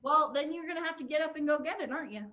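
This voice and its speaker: A woman's voice: high-pitched, racing, muffled recording. A young woman teaching an online drama class for kids, encouraging and upbeat as she guides a pretend game over a video call. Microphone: computer on a video call.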